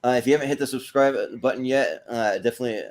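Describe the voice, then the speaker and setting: A man with a mid-pitched voice hosts a casual panel show. He speaks in a halting, informal way, often repeating a word before finishing the thought. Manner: halting, repeats words as they go. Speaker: host of a movie panel show. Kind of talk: casual panel chat and sign-off plugs.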